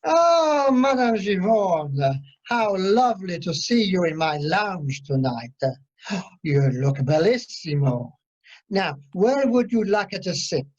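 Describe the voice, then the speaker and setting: Woman as gushing flattering waiter guy, dull meeting-call sound, radio comedy. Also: mid-range voice, slow. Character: flattering, effusive cruise ship lounge waiter. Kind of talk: amateur radio comedy acting. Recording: dull online meeting audio.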